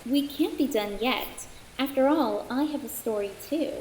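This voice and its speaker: Girl playing curious young woman chatting in an audio drama, bit high pitched, echoey with some hiss. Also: normal speed, darkish tone, lightly acted. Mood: curious, eager. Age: young adult. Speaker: student audio drama actor. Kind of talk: casual acted conversation. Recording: roomy echo, faint hiss.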